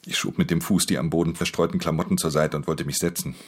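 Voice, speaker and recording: Very deep-voiced, world-weary pickpocket. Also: male voice, fairly even intonation, fast talker, breathy edge. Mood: detached narrating. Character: world-weary male professional pickpocket, terse, guarded loner narrating. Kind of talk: radio drama dialogue and introspective first-person narration.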